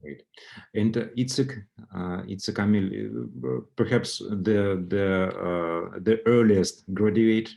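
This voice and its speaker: A man's voice: fairly low pitch, steady tone, slow, gravelly, darkish tone. A man hosting an online alumni session, calling on people in turn with many hesitant fillers. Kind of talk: moderating a video call. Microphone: video call microphone.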